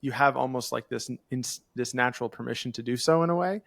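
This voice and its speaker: A man's voice: mid-range voice, quick pace, vocal fry, a bit bright, no room sound, clean studio sound. A man hosting an interview, talking casually in an informal, rambling way. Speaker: podcast interviewer, host. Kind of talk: casual conversational commentary.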